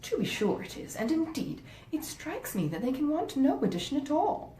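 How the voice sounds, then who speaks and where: In a slightly echoey room, a woman reader puts on a scheming, selfish wife from a storybook classic. She is coaxing and indignant, with a lively, swooping pitch and a soft, mellow tone. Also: moderate pace. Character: selfish, wheedling wife scheming against her sisters-in-law. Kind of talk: acted dialogue in a classic novel reading. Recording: roomy echo, faint rumbling noise.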